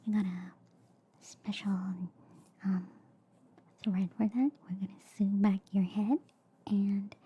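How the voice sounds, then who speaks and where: A woman softly whispering an ASMR roleplay as a reassuring alien surgeon, breathy and hesitant, close to the microphone. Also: medium pitch, lo-fi sound, slight background noise. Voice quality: soft, breathy, mostly whispered. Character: reassuring, slightly inept alien girl surgeon. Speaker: ASMR performer playing an alien doctor.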